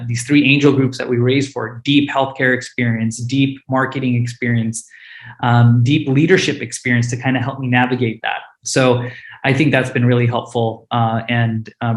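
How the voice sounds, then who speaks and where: A man's voice: mid-range voice, roomy sound. A man, a startup founder with Hindi as his first language, answering panel questions earnestly and openly, with frequent fillers and restarts.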